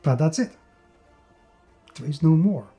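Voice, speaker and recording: A man with a fairly high yet very mellow, muted voice talks through an unscripted game review. He is enthusiastic and emphatic, often doubling words for stress. Faint music plays behind him. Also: lively intonation, measured pace, muffled recording.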